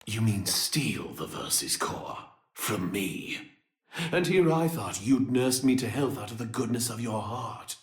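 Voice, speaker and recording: An actor voicing an arrogant, sardonic villain bent on ruling all creation, with haughty, formal delivery, in an English game dub. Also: male voice, fairly low pitch, expressive, measured pace. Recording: echoing voice, faint music.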